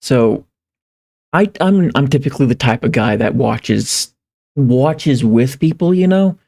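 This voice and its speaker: A man livestreaming in a casual, rambling way, halting and repeating phrases as he thinks aloud. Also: medium pitch, animated, vocal fry, a little mellow. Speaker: live streamer. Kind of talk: casual livestream chat, reading viewer comments.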